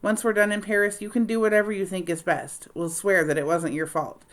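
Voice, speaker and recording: A woman's voice: medium pitch, little pitch movement, brisk, pitched above the performer's own voice, lightly acted. Woman reading as earnest, anxious bookish girl. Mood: pleading, earnest.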